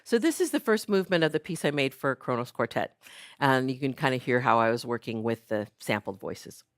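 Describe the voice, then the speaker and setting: A woman's voice: very deep voice, expressive, fairly quick, no room sound, studio quality. A woman artist giving an informal seminar talk about her work, chatty and full of fillers and restarts. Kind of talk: informal lecture. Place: seminar room.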